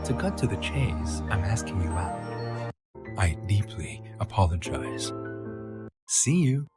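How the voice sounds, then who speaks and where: An actor playing a polite, formal, devoted suitor with a courteous, measured delivery. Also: male voice, on the low side, sing-song. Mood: courteous, tender. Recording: loud music under the voice.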